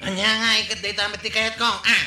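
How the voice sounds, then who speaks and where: A man's voice: high voice, little pitch movement, fairly quick, bright, forceful tone, a little hiss or hum. An actor playing a brash, defiant male tricycle owner in a comedy drama who picks fights with neighbors. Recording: echoey room recording.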